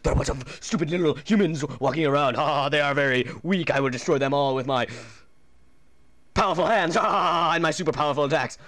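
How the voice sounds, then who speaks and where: A man's voice: a little high, fast, a bit bright, natural voice. A man voicing a boastful, scornful alien villain in an amateur cartoon parody, stilted and menacing. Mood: gloating.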